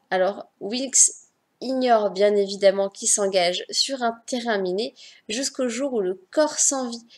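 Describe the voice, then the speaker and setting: A woman chatting directly to her viewers as she reviews a book on her channel, correcting herself as she goes. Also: a little high. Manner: self-correcting, scattered. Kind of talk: casual book review vlog.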